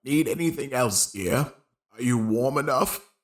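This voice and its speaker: A man playing a kindly, doting old man with a creaky voice in a gothic horror audio play. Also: mid-range voice, expressive, unhurried, lightly acted. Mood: gentle, caring.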